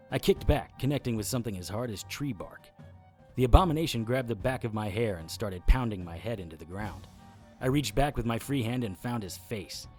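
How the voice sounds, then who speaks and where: Low-voiced, hard-boiled monster hunter, played by a man, over music. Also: darkish tone, lightly acted. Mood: gritty, urgent. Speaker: lead actor narrating in first person. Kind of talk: audio drama narration, noir-style. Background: music bed under the voice.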